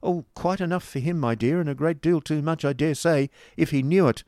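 Man acting a blustering schoolmaster, loud and high. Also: sing-song, moderate pace, no room sound, studio quality. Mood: placating. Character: bullying, self-important schoolmaster husband, stern yet wheedling.

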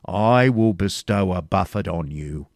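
A man's voice: low voice, animated, measured pace, no room sound. Male reader as belligerent storybook friar: burly, bombastic, archaic diction, dry sound. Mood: defiant. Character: burly, belligerent, blustering medieval friar, quick to fight.

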